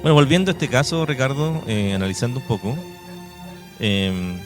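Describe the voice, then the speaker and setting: A man's voice: medium pitch, expressive, normal speed. A man with a Chilean accent co-hosting a radio show, commenting in a conversational way. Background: music.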